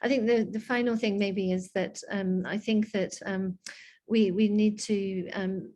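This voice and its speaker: A middle-aged woman with a light Scottish accent answers questions in an online discussion. She thinks aloud as she goes, with frequent ums and restarts. Her voice sits at an ordinary middle pitch. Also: fairly even intonation, measured pace, a little mellow, lo-fi sound. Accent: slight Scottish. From Scotland. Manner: thoughtful, with many ums and restarts. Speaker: guest speaker.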